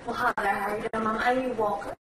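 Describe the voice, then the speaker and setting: A woman's voice: a little high, steady tone. Woman in her twenties switching accents. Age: 20s. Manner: quick switching between imitated accents. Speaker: accent performer. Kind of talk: accent demonstration video. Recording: distant echoey playback with noise.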